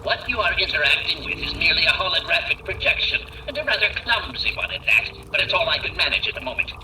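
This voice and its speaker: A man's voice: on the high side, big pitch swings, quick pace, pushed and bright, slight background noise, heavily acted. An actor voicing an arrogant, condescending male genius who speaks through a holographic projection in a video game. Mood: scornful. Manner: condescending, insulting. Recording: roomy, distant voice.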